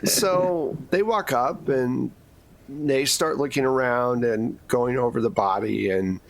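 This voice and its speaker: A man runs a tabletop roleplaying game. He lays out scenes at a slow, measured pace in a medium-pitched voice, conversational and informal as game master. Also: vocal fry. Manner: casual, conversational scene-setting.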